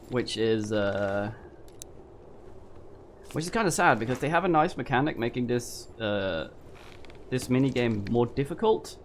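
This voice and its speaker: A man's voice: medium pitch, a little hiss or hum. A man with a slight sniffle, casually thinking aloud while streaming a game from home. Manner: thinking aloud, rambling and musing. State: a little sniffly from a cold. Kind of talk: casual live gameplay commentary. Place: at home at his computer. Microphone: desk microphone. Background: game music.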